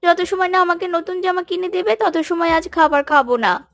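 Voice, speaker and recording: Cartoon casting: woman as stubborn poor schoolgirl, high and slightly creaky, very dry sound. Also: moderate pace, no room sound. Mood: sulky, complaining. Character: sulky poor little schoolgirl, stubborn and tearful. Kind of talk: children's moral cartoon voice acting.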